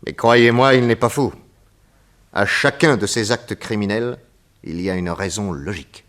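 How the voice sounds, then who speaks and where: An actor playing a calm, shrewd middle-aged detective in a radio drama, speaking in a measured, grave and deliberate way. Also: male voice, lowish voice, close to an everyday voice. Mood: explaining. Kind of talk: 1940s radio acting.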